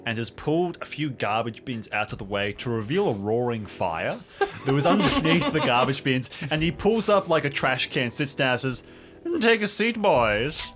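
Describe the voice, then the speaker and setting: A man with a middle-pitched voice plays a tabletop game. He describes the action casually, making it up as he talks. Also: lively intonation, moderate pace, fairly bright tone, faint music behind. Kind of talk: casual improvised game narration.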